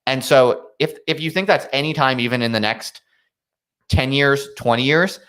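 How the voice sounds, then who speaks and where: A man's voice: mid-range voice, moderate pace, fairly bright tone. A man hosting a casual live stream discussion, earnest and emphatic, repeating himself to make his point.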